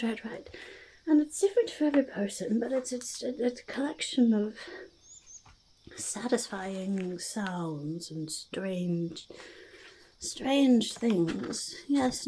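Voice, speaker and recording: A woman voicing a curious, skittish and chatty forest goblin in soft-spoken, half-whispered ASMR roleplay, halting and repeating words. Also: a little high, slightly rough, full character voice. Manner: halting, repetitive, speaks of self in third person.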